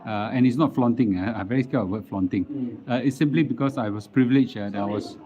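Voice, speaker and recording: A middle-aged man with a Singaporean accent chatting casually as a livestream host, halting and repeating words as he goes. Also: mid-range voice, a crowd in the background. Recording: dull lo-fi live stream audio.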